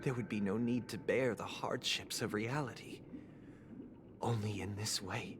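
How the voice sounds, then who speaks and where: A man voicing a serene, eloquent game villain, speaking in a solemn, measured, oratorical way. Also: lowish voice, noisy background, lightly acted. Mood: grand, reverent. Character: serene, eloquent male villain preaching an eternal dream paradise. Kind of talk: theatrical video game dialogue. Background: prominent game music.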